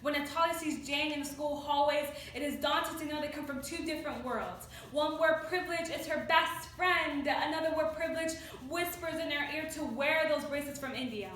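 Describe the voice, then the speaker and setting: A teenage girl from New York City performing slam poetry in a deliberate, emphatic way with dramatic pauses. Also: sits high, fairly bright tone. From New York City, United States. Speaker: student slam poet. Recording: echoey room, distant mic, faint noise.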